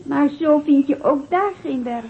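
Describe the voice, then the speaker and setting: An actress playing a young village woman who gently nags her sweetheart in a radio play. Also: sits high, unhurried, creaky, slight background noise. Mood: coaxing. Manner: gently nagging. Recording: tinny phone-like sound.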